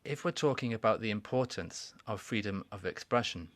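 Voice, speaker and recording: A man giving a formal welcoming speech at a conference, measured and deliberate, with pauses and restarts. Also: lowish voice, a bit bright, no room sound. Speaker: conference speaker. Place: conference hall.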